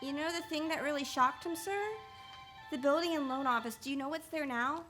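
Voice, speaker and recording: Woman playing a very high, flustered guardian angel. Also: fairly quick, soft background music. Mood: gentle, solemn. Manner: earnest, excitable, hesitant when flustered. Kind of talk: radio play acting.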